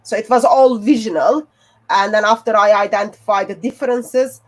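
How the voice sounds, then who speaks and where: A Turkish woman with a Turkish accent explains her research informally in halting, non-native English. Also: medium pitch. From Turkey. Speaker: guest researcher.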